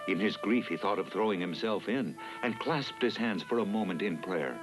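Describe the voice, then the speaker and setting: A man narrating a children's fairy tale in a dramatic, measured storytelling style. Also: on the low side, over loud music, rough recording.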